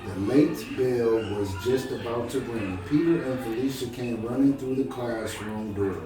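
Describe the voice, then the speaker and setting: Guy reading a kids story out loud, slow and encouraging, far from the mic w music behind. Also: mid-range voice, heavy reverb. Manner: encouraging, conversational, checking understanding. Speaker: storybook reader. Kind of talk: reading aloud to a listener, pausing for questions.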